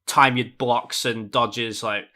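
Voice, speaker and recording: Bright-voiced, enthusiastic man chatting. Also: medium pitch, fairly even intonation, slow. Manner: enthusiastic, colloquial. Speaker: podcast co-host. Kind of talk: casual gaming podcast chat.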